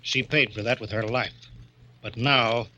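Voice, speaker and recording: An actor playing a hard-bitten police detective in an old radio drama, cold and clipped. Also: male voice, medium pitch, steady tone, unhurried, sharp tone, highs cut off. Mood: grim.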